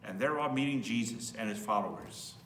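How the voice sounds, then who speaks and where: Male priest for children's story reading; expressive, bright delivery, echoey room, mic set back with faint hum. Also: fairly low pitch, fairly quick, far from the mic. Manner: expressive, explains in simple words as to children. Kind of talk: storybook read-aloud with asides to children.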